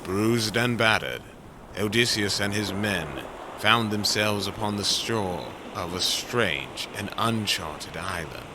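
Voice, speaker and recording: A man's voice: fairly low pitch, lively intonation, slow, noisy background. A man narrating an epic story in a solemn, portentous, dramatic scripted style.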